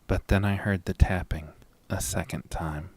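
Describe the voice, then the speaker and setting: A man reading a scripted first-person horror story in a dramatic, deliberate storytelling manner. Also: deep voice, fairly even intonation, heavy background noise. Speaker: narrator and lead of a horror audio story.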